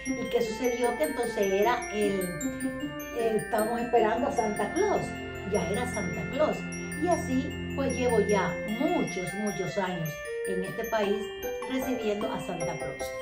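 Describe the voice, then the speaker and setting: A Cuban woman in her sixties speaks with a Cuban Spanish accent in a chatty, reminiscing tone, recorded by a camera across her living room. The room sounds echoey, and loud music plays behind her voice. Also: mid-range voice, expressive, unhurried. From Cuba. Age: 60s. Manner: reminiscing, chatty, addresses viewers directly with rhetorical questions. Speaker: vlogger. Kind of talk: personal storytelling to camera.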